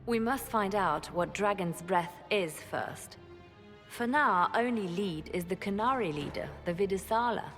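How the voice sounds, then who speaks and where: An actress playing a measured, inquisitive female advisor in a fantasy video game, formal and deliberate. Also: mid-range voice, a bit bright, natural voice. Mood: grave. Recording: hall reverb with music behind.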